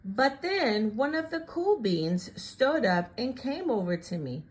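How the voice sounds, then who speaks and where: A woman reading a children's picture book aloud in an animated, expressive and deliberate storytelling style. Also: fairly high pitch, poor audio.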